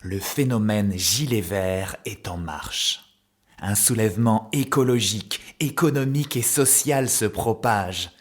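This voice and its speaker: A man's voice: lowish voice, measured pace. A man narrating a children's cartoon story as a playful storyteller in scripted style. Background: music, plucked guitar.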